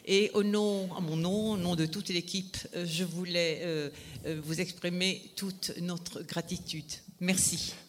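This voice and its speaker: High-voiced man giving a formal introduction. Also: fairly even intonation, measured pace, noisy background. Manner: polite, formal, stumbles over phrasing. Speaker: event host introducing the speaker.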